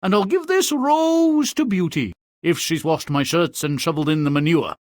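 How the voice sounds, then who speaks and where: A man's voice: on the high side, animated, normal speed, no room sound, clean studio sound, natural voice. An actor playing a cowardly, callous middle-aged merchant father in a comic fairy-tale game, whining, pompous and melodramatic. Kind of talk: comic video game cutscene acting.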